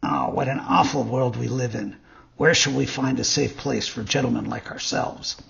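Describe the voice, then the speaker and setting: A man voicing a sly fox swindler in a storybook, wheedling and theatrical, feigning gentlemanly sympathy. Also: medium pitch, fairly even intonation, moderate pace, creaky, husky, lo-fi sound, heavily acted. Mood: mock pity.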